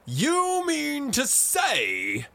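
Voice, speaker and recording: A man's voice: fairly high pitch, wildly swooping pitch, a little slow, creaky, sharp tone, breathy edge, heavily acted. A man voicing a fiery, hearty explorer colonel from a fantasy story, boisterous and indignant. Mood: outraged roar.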